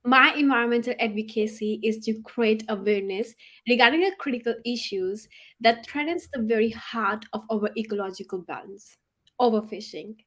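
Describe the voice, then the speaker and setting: A woman's voice: fairly high pitch, normal speed, fairly bright tone. A woman in her twenties giving a rehearsed self-introduction as a pageant contestant, earnest in tone. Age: 20s. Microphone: video call.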